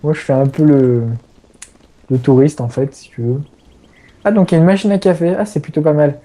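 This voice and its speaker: Mellow French man rambling laid-back. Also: a little high, fairly even intonation, a little fast. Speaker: gamer. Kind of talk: casual game commentary.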